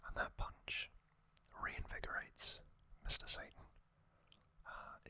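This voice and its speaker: Breathy whisper, very slow pace, ASMR host, gentle phrasing, lingering pauses, close mic. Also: dry recording. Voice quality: soft breathy whisper, mostly unvoiced. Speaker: host of a whispered trivia channel. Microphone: close microphone.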